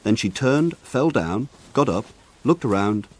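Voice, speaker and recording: An actor playing a grown man who narrates his rough village boyhood in a measured, wry radio drama style. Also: medium pitch, lively intonation. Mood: wry, reflective. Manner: measured, wry, lyrical phrasing. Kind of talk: scripted radio drama narration, literary storytelling.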